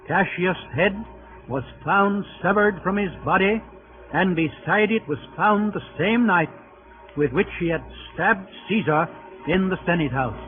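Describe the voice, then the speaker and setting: A man's voice: sits high, unhurried, soft background music. A man narrating a 1930s radio drama in a formal, clipped storytelling manner. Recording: tinny 1930s radio recording.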